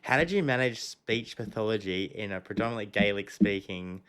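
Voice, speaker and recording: Very deep-voiced woman. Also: brisk. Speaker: podcast co-host. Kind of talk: casual interview chat.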